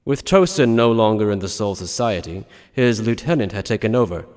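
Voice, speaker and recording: A man's voice: on the low side, lively intonation, a little fast, darkish tone. A man reading scripted dramatic audiobook narration. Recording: long reverberant tail on voice.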